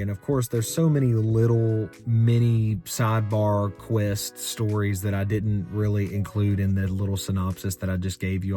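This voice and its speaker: A young man in a rambling, unscripted story-time vlog about his car, talking in a monotone voice with many fillers. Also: lowish voice. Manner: rambling, filler-heavy, conversational. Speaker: car vlogger.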